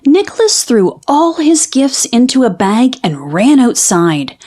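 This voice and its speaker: High, lively woman reading a storybook. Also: a little slow. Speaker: children's book reader. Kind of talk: expressive storybook read-aloud narration.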